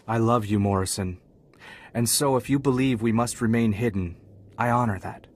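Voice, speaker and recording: An actor playing a lovestruck, defiant young Jedi student in a video game, earnest and declamatory. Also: male voice, mid-range voice, moderate pace, darkish tone, natural voice. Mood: earnest pleading. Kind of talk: scripted video game acting.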